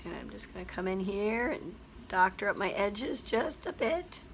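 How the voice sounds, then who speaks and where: Hoarse woman teacher, slightly gravelly, chatty step-by-step craft demo. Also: medium pitch, lively intonation, normal speed, a little hiss or hum. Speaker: craft tutorial host. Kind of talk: craft tutorial voice-over.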